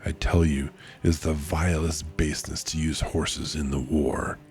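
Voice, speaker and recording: A man reads as a young soldier in an audiobook, agitated yet almost dignified. His voice sits low and unhurried, and faint music plays underneath. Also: level tone, darkish tone. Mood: indignant.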